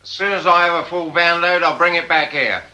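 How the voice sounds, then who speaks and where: An actor playing a matter-of-fact van driver from a criminal gang in a radio drama. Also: male voice, a little high, steady tone, fairly quick, pushed and bright.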